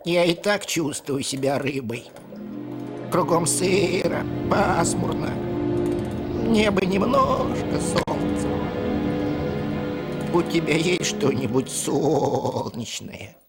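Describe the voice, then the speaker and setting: An actor voicing a melancholy, dreamy young male lamb poet in a cartoon, in a plaintive, drawn-out way. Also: medium pitch, very animated. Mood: gloomy. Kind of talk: animated cartoon acting. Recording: loud music behind the voice.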